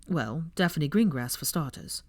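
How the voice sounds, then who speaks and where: A woman's voice: deep voice, expressive, measured pace, no echo. A woman voicing a dry, teasing young wizard man with a mocking, sweary drawl, in a story reading. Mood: dry, wry.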